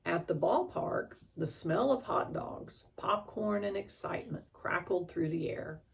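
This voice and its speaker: A woman reads a children's story aloud with expression. Her voice is slightly low and creaky, and she takes her time. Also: measured pace, low-quality recording.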